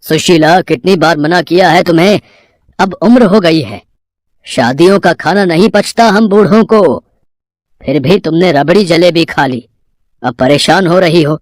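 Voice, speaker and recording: A man's voice: fairly high pitch, a little slow, dry recording, clean studio sound, lightly acted. A man voicing an exasperated elderly father-in-law who chides in a moral-story drama. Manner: chiding.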